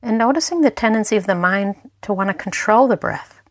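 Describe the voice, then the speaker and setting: A woman's voice: medium pitch, no room sound. Woman guiding a meditation, dry close sound. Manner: gentle, unhurried guiding with pauses. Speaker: mindfulness instructor. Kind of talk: guided meditation narration.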